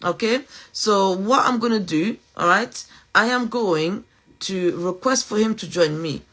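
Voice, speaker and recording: A woman's voice: medium pitch, unhurried, fairly bright tone. Chatty woman with a French accent. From Portugal. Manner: chatty, upbeat, with many fillers and restarts. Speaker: podcast host. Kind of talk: casual live interview.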